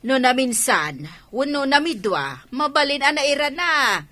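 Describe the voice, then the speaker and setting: A woman's voice: sits high, sing-song, unhurried, pushed and bright, exaggerated voice. A woman playing a gossipy, insinuating neighbour in a radio drama, with an Ilocano accent.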